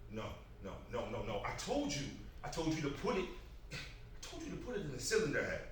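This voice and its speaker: A man acts a hardworking older brother, gruff but caring, in a staged drama reading. His colloquial, exasperated talk swings widely in pitch. It is caught from a distance in a very echoey room with clear noise. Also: huge pitch swings, quick pace. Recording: roomy, distant mic, loud background noise.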